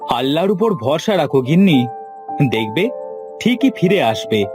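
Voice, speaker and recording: A man playing a poor, caring village woodcutter husband with a coaxing, expressive delivery in a cartoon fairy tale. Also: on the high side, a little slow, over music. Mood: consoling.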